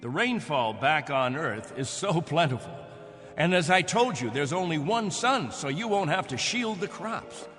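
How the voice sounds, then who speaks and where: An actor playing a desperate, controlling captain of a stranded colony in a radio drama, preaching with emphatic fervor. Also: male voice, fairly high pitch, sing-song, unhurried, fairly bright tone, long reverb tail, soft background music. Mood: reassuring.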